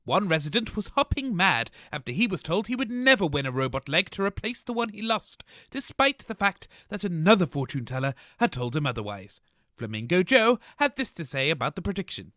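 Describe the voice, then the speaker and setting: A man's voice: high voice, very animated, a little fast, pushed and bright, no echo, studio quality, heavily acted. A man with a British accent playing a fussy, easily flustered host on a comic radio news show. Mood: mock newsreader. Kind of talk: mock news reading and comic radio acting.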